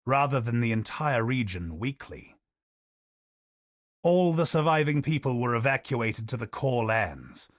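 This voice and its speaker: A man voicing a game character who recounts a dark war tale in slow, grave storytelling. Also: fairly low pitch, no room sound, studio quality. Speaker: game character narrating lore. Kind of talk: video game voice lines, lore recounting.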